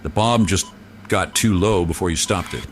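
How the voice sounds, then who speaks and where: Man playing a low-voiced fatherly agency boss. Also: normal speed, slight background noise, natural voice. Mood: reluctant, grave. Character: fatherly male military boss, consoling and folksy. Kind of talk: mock radio serial acting.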